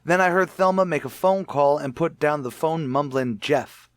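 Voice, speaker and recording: A man's voice: a little high, moderate pace, dry recording, lightly acted. A man acting as a pushy, accusing husband confronting his rival in a radio mystery. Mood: accusing, heated. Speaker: actor.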